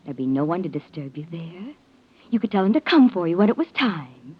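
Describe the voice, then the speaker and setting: An actress playing an intense, scheming heiress in old-time radio melodrama, speaking in a theatrical, emphatic way. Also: female voice, lowish voice, very animated, brisk, husky. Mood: coaxing, soothing. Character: scheming heiress, outwardly pleading and sympathetic, intense. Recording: muffled vintage radio recording.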